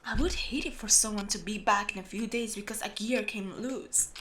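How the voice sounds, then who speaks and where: A woman playing a meticulous, secretive steampunk clock repairer in an audio roleplay, explaining things patiently and in a measured way to the listener. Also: mid-range voice, steady tone, background noise, natural voice. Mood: patient, focused. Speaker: amateur voice actor.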